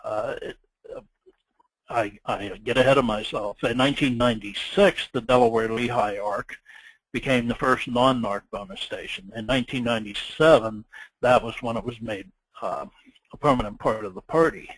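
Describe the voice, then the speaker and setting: A man with a mid-range voice gives an informal talk in the role of a club event chairman. His delivery is halting and hesitant, with a modest, dryly humorous manner. The sound is dry, with no room echo. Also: medium pitch, husky. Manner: halting and hesitant, often trailing off. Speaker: event chairman giving a presentation. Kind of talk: informal history presentation.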